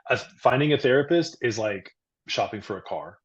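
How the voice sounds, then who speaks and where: Therapist guy w a loud booming voice, mid pitch, kinda muffled lo-fi sound, earnest, explains stuff w everyday comparisons. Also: lively intonation, a little fast. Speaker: therapist, guest. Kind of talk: casual podcast chat.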